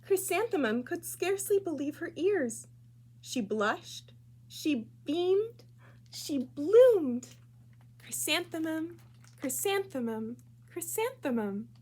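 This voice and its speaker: A woman reading a children's storybook aloud at library storytime, expressive and clearly phrased for young listeners. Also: very high-pitched, takes it slowly.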